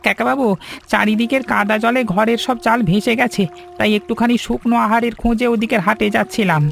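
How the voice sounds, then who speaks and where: A man's voice: very high-pitched, fairly even intonation, brisk, gravelly, a bit bright, soft background music, exaggerated voice. A man voicing a needy, respectful young villager in a cartoon, speaking earnestly and deferentially. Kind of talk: animated moral story dubbing.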